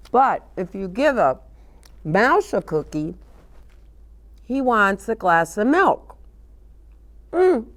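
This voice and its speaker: Lady doing story time for kids, slow pace, super lively singsong voice, chats right at the little listeners. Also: medium pitch. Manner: animated, sing-song, addresses children with questions. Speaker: children's storyteller and show host. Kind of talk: read-aloud and chat for young children.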